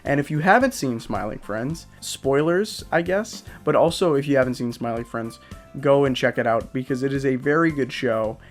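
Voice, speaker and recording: A man's voice: medium pitch, moderate pace, faint music behind. A young man talking casually as a YouTube reviewer, rambling and poking fun at himself, with lots of fillers. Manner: rambling, self-deprecating, many fillers. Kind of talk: casual vlog talk.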